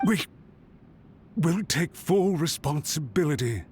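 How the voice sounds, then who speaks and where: A nervous, humble official in a game drama, with a fairly high male voice. He pleads and apologizes in formal, deferential phrases, over background music. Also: expressive, takes it slowly, a little mellow. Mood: earnest, desperate. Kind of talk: dramatic video game dialogue.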